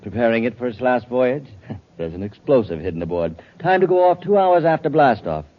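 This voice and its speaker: An actor playing a gloating, menacing traitor in a 1950s radio space adventure. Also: male voice, medium pitch, big pitch swings, fairly quick, lightly acted. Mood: gloating menace. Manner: sneering, taunting, theatrical. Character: gloating, menacing male traitor with a ray gun. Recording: dull old radio recording, faint noise.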